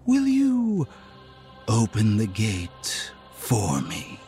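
An inhuman stranger in a horror audio drama, calm and quietly menacing. His lowish voice moves very slowly and swings widely in pitch, taunting softly and sinking toward a whisper. Faint music plays beneath him. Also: full character voice. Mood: quiet probing. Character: calm inhuman man in hoodie, mildly amused, quietly menacing.

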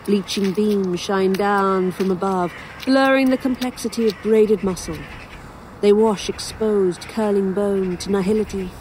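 A woman's voice: mid-range voice, a little slow, a little mellow, lightly acted. A woman playing a weary, sardonic young writer in an audio drama, with a dry manner. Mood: grim, literary. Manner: dry, sarcastic, hesitant. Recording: faintly noisy outdoor sound bed. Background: crows cawing, outdoor ambience.

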